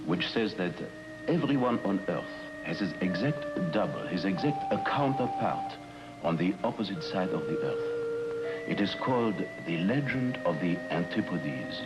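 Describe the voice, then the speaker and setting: Radio drama: obsequious fat hotelier, halting, deep and highly inflected, music behind. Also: male voice, huge pitch swings, full character voice, poor audio. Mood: ominous. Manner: halting, hesitant, fawning speech with searched-for words. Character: fat, obsequious male hotel manager on a tropical island. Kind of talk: old-time radio drama acting. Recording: old radio recording with music.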